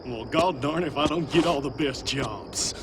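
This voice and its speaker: A man's voice: fairly high pitch, unhurried, noisy background, natural voice. An actor playing a brash, dim-witted cowboy henchman in a video game, with a folksy, cocky Southern US drawl. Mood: dopey, folksy. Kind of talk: video game cutscene acting.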